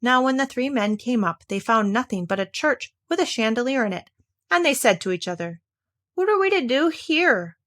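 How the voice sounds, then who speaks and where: A woman reading a fairy tale aloud as scripted narration, in a measured, expressive storytelling manner. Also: on the high side, no echo, clean studio sound.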